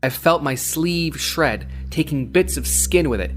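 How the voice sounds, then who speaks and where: A man's voice: on the high side, expressive, unhurried. A man playing a gritty, wry cyberpunk courier, telling his story in a hard-boiled, conversational first person. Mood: pained, shocked. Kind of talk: dramatic first-person audio story narration. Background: loud synthwave soundtrack.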